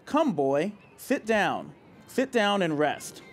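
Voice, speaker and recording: A man voicing a loving old female tree as he reads a picture book aloud, softly and slowly. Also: high voice, sing-song, voice pushed down, heavily acted. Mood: sorrowful.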